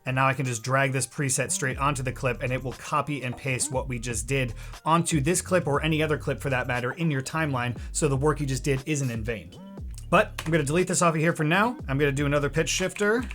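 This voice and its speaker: A man hosting a software tutorial in an informal, joking way, talking casually to his viewers. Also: mid-range voice, quick pace, soft background music. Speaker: host of a video editing tutorial series.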